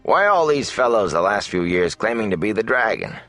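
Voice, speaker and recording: A man voicing a chatty, excitable middle-aged innkeeper with folksy, gossipy talk in a fantasy audiobook. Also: fairly low pitch, fairly quick, soft background music, pitched above the performer's own voice. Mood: excited, gossipy. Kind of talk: fantasy audiobook character dialogue.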